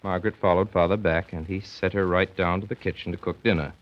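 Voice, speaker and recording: A man's voice: sits low, steady tone, fast talker, natural voice. An actor playing a grown son who wryly recalls his childhood household as narrator of a 1930s radio play. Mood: fond, measured. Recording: dull vintage 1930s radio broadcast.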